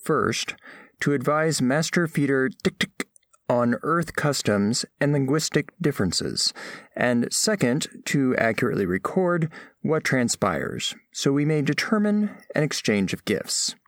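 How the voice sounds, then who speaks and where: A man's voice: mid-range voice, expressive, measured pace, no room sound, studio quality. A man playing a studious alien scholar who narrates a diplomatic report with formal, precise diction in an audio drama. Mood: formal, measured. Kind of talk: scripted first-person narration, audio fiction.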